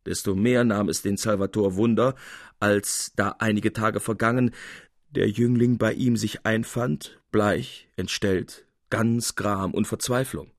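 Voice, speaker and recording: A man reading a literary tale as narrator, with precise, expressive and theatrical storytelling. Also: fairly low pitch, unhurried, no echo, clean studio sound. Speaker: narrator, reader.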